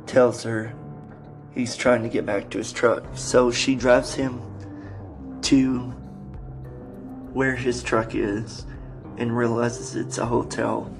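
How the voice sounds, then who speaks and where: A man hosting a podcast and introducing episodes, speaking haltingly in short broken phrases. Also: medium pitch. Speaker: podcast host.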